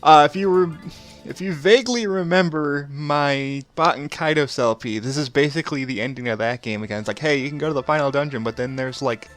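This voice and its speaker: A man's voice: on the high side, a little fast, fairly bright tone, soft background music. A thirty-year-old man hosting a casual let's play, commenting on the game and often chuckling between remarks. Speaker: gameplay video host.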